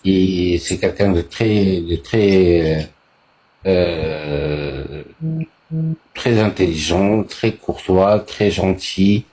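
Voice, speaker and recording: A man calling into a live chat to give a testimony, speaking haltingly with many fillers. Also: sits low, mellow. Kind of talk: giving a testimony.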